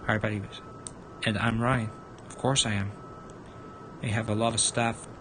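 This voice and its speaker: A man's voice: fairly low pitch, flat delivery, normal speed, noisy background. A man livestreaming a visual novel, reading a horror story aloud as dramatic narration and stumbling over words now and then. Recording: loud music over the voice. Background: loud music.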